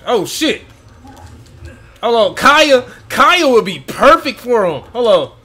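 A young man reacting to gameplay from a home room, excitable and swearing freely as he talks to his viewers. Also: extremely high pitch, slow pace, husky. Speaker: gaming YouTuber. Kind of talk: casual gameplay reaction commentary. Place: home room with gaming chair.

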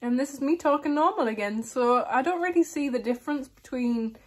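A woman's voice: high-pitched, a little fast, darkish tone. A young woman with a slight lisp, chatting casually to camera in a small room, giggling now and then. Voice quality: slight lisp from a lip plate. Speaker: vlogger.

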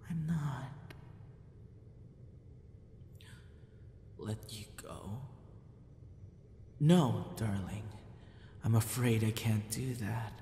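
A man playing a gentle, possessive yandere captor in an ASMR roleplay, speaking softly and often slipping into whispers. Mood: soothing, apologetic. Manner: soft, breathy, often dropping into a whisper. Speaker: audio roleplay voice actor. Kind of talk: ASMR boyfriend roleplay acting. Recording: roomy reverb with faint rumbling noise.

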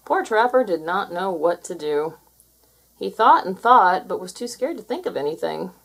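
A woman reading a children's storybook aloud as an expressive, scripted narration. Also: on the low side, normal speed, gravelly, slightly rough, low-quality recording. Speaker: storybook reader.

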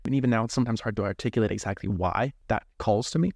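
A young man in his twenties talks things through slowly, pausing and restarting as he searches for the right words. His voice sits low, and the studio sound is close and dry with no room echo. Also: vocal fry, darkish tone. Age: 20s. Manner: thoughtful, hesitant, searching for words. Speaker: seminarian guest. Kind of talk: reflective interview talk.

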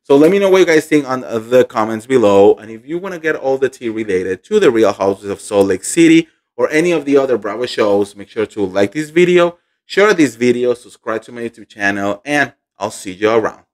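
Man, thirties, gossip vlog host, animated, indignant, emphatic, home studio mic. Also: medium pitch, fairly quick. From Colombia. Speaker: pop culture gossip host. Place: home room indoors. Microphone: studio microphone on boom arm.

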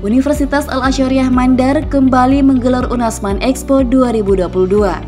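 A woman narrating a scripted regional news report in an even, formal reading voice. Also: fairly high pitch. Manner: even, formal read delivery. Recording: voice-over with music bed.

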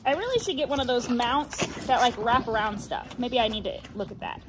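High-voiced young woman farmer, phone vlog. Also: brisk. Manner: chatty, talks to the camera while doing chores. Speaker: farmer and vlogger. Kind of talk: casual vlog narration. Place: outdoors on a cattle farm. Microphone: handheld phone camera. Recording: outdoor phone audio with farm noise.

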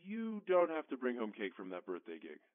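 A man's voice: mid-range voice, wildly swooping pitch, fairly quick, dry recording, phone-quality sound. A man voicing a worried caller leaving a recorded phone message to his partner. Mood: uneasy.

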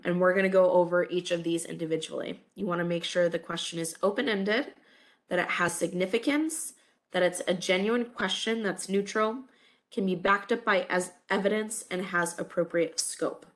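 A woman's voice: lowish voice, normal speed. A woman in her thirties giving a recorded college English lecture over webcam, stuffy from a cold, friendly and full of "um" fillers. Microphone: webcam over slides.

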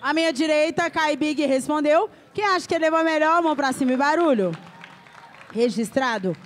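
A man hosting a rap battle, calling out loud announcements on a handheld mic at an outdoor stage. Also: very high-pitched, fairly quick. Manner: loud hype chants and announcements. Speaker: battle host. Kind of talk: hyping a crowd. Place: outdoor stage under a city viaduct. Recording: live stage sound with big crowd noise.

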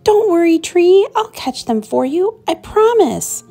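A woman voicing a small, anxious young male animal who cares earnestly for a tree, in a high, pleading voice. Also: sing-song, a little slow, a little mellow, faint music behind, heavily acted. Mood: earnest, worried.

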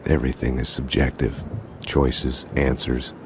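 An actor voicing a brooding, world-weary ex-cop in a game, narrating in a gravelly, deadpan way. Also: male voice, rumbling low, unhurried, noisy background. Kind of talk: scripted hard-boiled noir narration.

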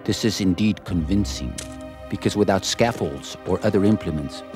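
A man reading as a deep-voiced old Jesuit missionary. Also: normal speed, lightly acted. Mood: solemn. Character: 18th-century male Jesuit missionary recounting a discovery. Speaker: voice actor reading a historical account. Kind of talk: 1970s documentary reading. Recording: voice over loud background music.

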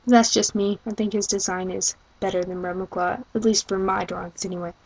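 A woman's voice: mid-range voice, steady tone, a little fast, vocal fry, a little mellow, husky, rough recording. A young woman introducing amateur voice auditions in a casual, self-deprecating, rambling way.

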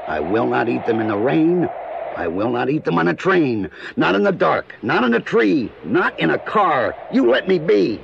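A stubborn, theatrical creature from a children's read-along. The voice is creaky and very animated, landing each rhyme with emphasis. It sits on a dull, noisy old cassette recording. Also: male voice, a little high, normal speed, heavily acted. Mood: exasperated. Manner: emphatic, rhythmic rhyming refusals. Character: grumpy, stubborn, picky male storybook creature, exasperated.

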